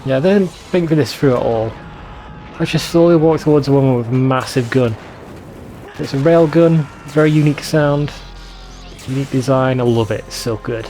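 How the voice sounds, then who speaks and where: A man's voice: medium pitch, moderate pace, mellow, soft background music. A man giving casual, sweary commentary over a video game in an informal, bemused way. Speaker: let's play video host.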